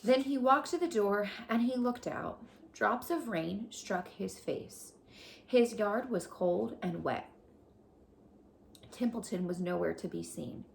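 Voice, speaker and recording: A woman reading a children's storybook aloud as a teacher, deliberate and explanatory as she addresses young listeners. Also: mid-range voice, creaky, a little hiss or hum.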